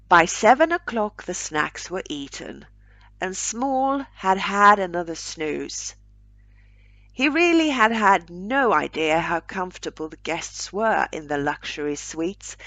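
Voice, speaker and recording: A woman narrating a short story aloud in a measured, deliberate way. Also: mid-range voice, a bit bright, dry recording. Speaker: author reading own short story aloud.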